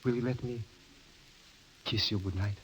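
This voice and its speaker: An actor playing an ardent, courtly European suitor in a 1930s radio drama, formal and pleading, on a dull vintage recording with some hiss. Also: male voice, on the low side, fairly even intonation, normal speed, a bit bright, lightly acted. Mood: ardent, tender.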